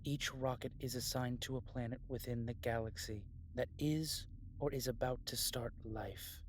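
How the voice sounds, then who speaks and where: A man's voice: mid-range voice, normal speed, lightly acted. A man voicing a blunt, determined rebel in an audio drama, explaining things matter-of-factly. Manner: plain, blunt, matter-of-fact. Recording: steady background hiss.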